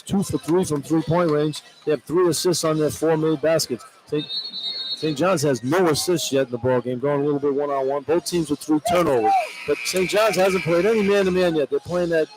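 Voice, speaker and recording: Male radio color commentator, conversational basketball analysis, higher-pitched voice, courtside with light arena noise. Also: moderate pace. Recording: faint arena noise behind.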